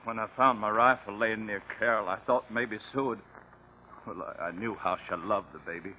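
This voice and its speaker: Actor as low-voiced, subdued husband. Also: male voice, natural voice. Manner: halting, subdued. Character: rural husband, sheepishly explaining himself. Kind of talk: 1950s radio drama acting. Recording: muffled, noisy 1950 radio recording.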